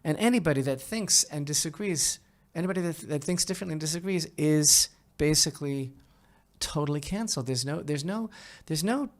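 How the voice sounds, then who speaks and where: A man giving conversational opinion commentary as a podcast host, halting and emphatic, repeating phrases and often checking in with the listener. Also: on the high side, expressive.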